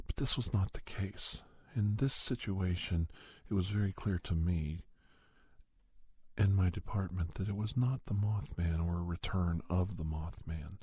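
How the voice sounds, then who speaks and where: A man playing a paranormal investigator who recounts his cases in soft, breathy, halting first-person ASMR narration. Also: deep voice, no echo. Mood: calm, hushed, matter-of-fact. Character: male paranormal investigator doctor recounting monster cases, unhurried. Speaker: storyteller.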